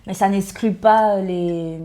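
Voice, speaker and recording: A woman from Congo Kinshasa, a guest in a casual chat, animated and quick to laugh. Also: medium pitch. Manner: animated, laughs often, playful.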